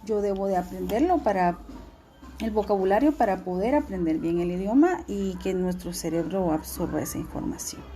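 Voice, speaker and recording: A woman teacher, giving a recorded class lesson in a clear, instructive way and repeating key words slowly. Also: medium pitch, lively intonation, dark tone, soft background music.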